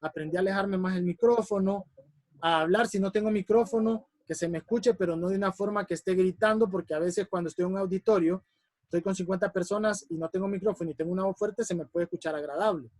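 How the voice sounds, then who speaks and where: A man with a strong voice teaching a public speaking workshop, animated and repeating examples for emphasis. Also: high voice, quick pace, no echo, studio quality. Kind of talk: workshop lesson. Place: classroom or online lesson.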